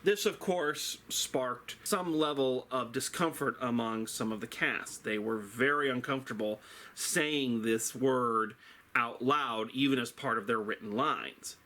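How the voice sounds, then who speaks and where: A middle-aged man telling an anecdote like a drama teacher, theatrical and wry, with dramatic pauses and emphatic delivery. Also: mid-range voice, bright, forceful tone. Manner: self-deprecating, wry.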